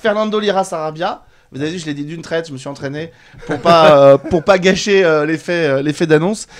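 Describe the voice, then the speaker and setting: A man hosting a talk show, speaking off the cuff with halting phrasing and repeated words. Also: on the high side, a crowd in the background. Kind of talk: unscripted studio presenting.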